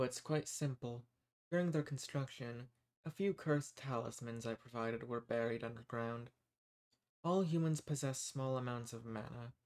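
A man voicing an ancient, dominant archdemon in a scripted roleplay audio, smug and amused, talking down to the listener with drawn-out emphasis. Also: mid-range voice, close to an everyday voice. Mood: matter-of-fact.

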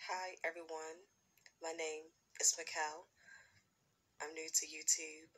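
A woman introducing herself in an old vlog, speaking slowly and carefully with a stutter while lying in bed. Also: low-pitched, level tone, bright, forceful tone, husky. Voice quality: stutter, slow careful speech. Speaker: vlogger. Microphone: phone camera.

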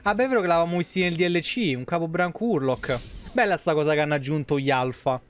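Higher-voiced man streaming a game. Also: fast, smooth. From Italy. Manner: playful, slangy, mock exclamations. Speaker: game livestreamer. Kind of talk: casual live game commentary. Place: small indoor room, streaming setup.

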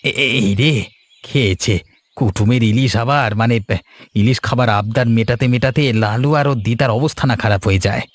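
A man's voice: medium pitch, fairly quick, dry recording, close to an everyday voice. A man voicing a nosy, affable villager in a cartoon story, who repeats his words and mutters hesitant asides. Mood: muttering, pitying. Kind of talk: Bengali cartoon story voice acting.

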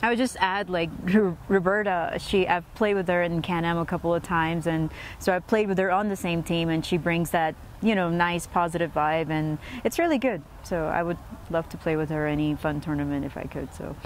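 Lower-voiced woman athlete in an interview. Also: fairly even intonation, normal speed, hardly any pauses. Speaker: cricket player. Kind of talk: post-match interview answers. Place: outdoor cricket field. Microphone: lapel mic. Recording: faint outdoor ground noise.

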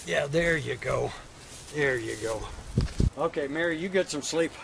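A man's voice: fairly high pitch, measured pace, creaky, noisy background. A man playing a flustered frontier cowboy who has just become a father. He speaks with a homespun, folksy drawl. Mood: tender. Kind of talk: one-man stage monologue.

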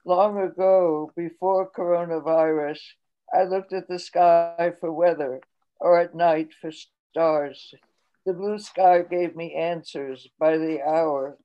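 A woman in her eighties reading her own poetry aloud in a slow, measured way over a video call from her home. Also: lowish voice, fairly even intonation. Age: 80s. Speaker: poet reading her work. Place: home room, indoors. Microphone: laptop webcam on video call. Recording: dull lo-fi video call audio.

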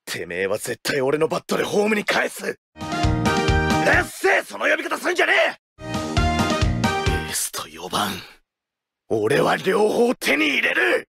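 An actor playing a fierce, hot-blooded young baseball player with forceful delivery in an anime-style game. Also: male voice, sits high, huge pitch swings, measured pace, gravelly, pauses often, exaggerated voice. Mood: aggressive. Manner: forceful, shouting. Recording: loud game music and crowd behind.

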